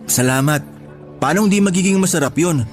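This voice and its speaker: Actor as high-voiced, devoted boyfriend. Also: male voice, normal speed, lightly acted. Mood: teasing, caring. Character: devoted, playful boyfriend, eager father-to-be. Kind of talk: Tagalog radio drama acting. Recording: music bed under dialogue.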